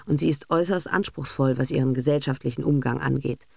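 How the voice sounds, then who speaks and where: A woman's voice: a bass voice, fast, creaky, dark tone, dry recording, full character voice. A woman voicing a self-assured, cynical businessman and father, bossy, dismissive and sardonic, in a literary audiobook reading. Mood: knowing, cynical. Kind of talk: literary audiobook character acting.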